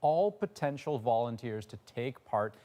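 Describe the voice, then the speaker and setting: A male news anchor giving scripted lead-ins in a crisp, even newsreading manner. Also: mid-range voice, measured pace.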